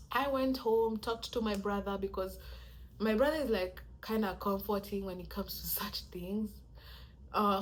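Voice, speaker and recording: Soft-spoken young woman vlogging. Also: slight background noise, rough recording. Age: young adult. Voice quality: soft-spoken, low-volume voice. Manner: chatty, animated, acts out quoted reactions. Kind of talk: casual storytelling to camera.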